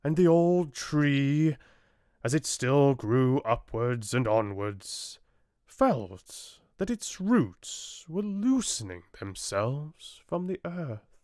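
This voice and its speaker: A man giving a measured, dramatic, expressive narration of a fairy tale audiobook. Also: medium pitch, no room sound, studio quality.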